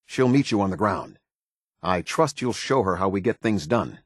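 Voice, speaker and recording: An American man playing a stern, formal military officer in a video game, speaking with husky, clipped, measured authority. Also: low-pitched, animated, no room sound, studio quality. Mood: instructive, steady. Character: stern, formal male military officer of a post-apocalyptic order. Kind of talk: video game character dialogue.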